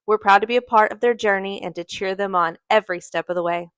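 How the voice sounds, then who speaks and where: A woman's voice: mid-range voice, fast talker, fairly bright tone, clean tone, no room sound. A woman delivering a scripted promotional message as a local official.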